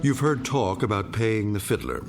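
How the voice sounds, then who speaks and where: A man's voice: lowish voice, normal speed, creaky. A man playing the solemn, moralizing narrator of an eerie radio drama. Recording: loud music behind voice.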